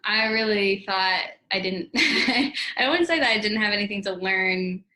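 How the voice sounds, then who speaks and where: A woman's voice: medium pitch, normal speed, loud music behind the voice. A woman with an American accent talking casually in a video diary as a yoga teacher. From United States. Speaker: yoga teacher and Reiki practitioner.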